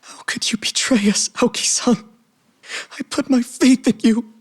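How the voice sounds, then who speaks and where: An actor voicing an anguished, fanatical man who feels betrayed, breathy and breaking, in a video game cutscene. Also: very high-pitched, unhurried, whispered, heavily acted. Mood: anguished, fervent.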